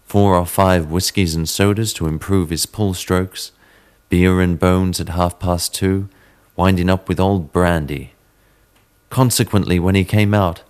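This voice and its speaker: A man giving a measured, theatrical dramatic reading of a classic horror story as narrator, in the manner of a storyteller. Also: low-pitched. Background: sitar music.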